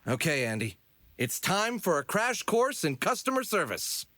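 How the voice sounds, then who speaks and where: A man's voice: high-pitched, big pitch swings, normal speed, bright, forceful tone, exaggerated voice. A man voicing a clever, theatrical fox showman in an audio drama. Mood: confident, explaining. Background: music.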